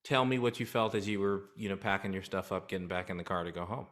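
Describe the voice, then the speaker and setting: A man hosting an interview, asking eager, animated questions into a studio mic. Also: low voice, quick pace. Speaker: host. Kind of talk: casual interview. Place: home studio room indoors. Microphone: large studio condenser mic on desk.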